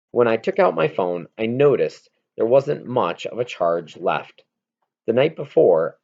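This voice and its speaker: A man reading a children's novel aloud as narration, in an expressive, amateur read-aloud manner. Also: on the low side, lo-fi sound. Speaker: audiobook reader.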